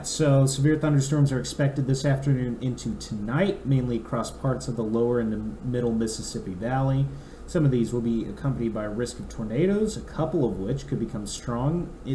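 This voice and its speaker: A young man with a raspy throat, a podcast co-host chatting casually and reading a weather forecast aloud with asides. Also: medium pitch, mellow. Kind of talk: casual chat. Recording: echoey room, faint noise.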